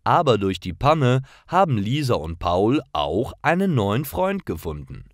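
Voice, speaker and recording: A man's voice: medium pitch, very animated, measured pace, no echo, studio quality. A man reads simple stories for language learners in a carefully articulated, scripted narration. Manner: simple, carefully articulated read-aloud delivery.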